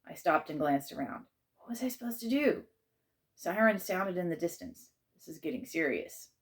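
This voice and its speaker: A woman's voice: fairly low pitch, expressive, moderate pace. A woman narrating a children's chapter book aloud as its author and a teacher.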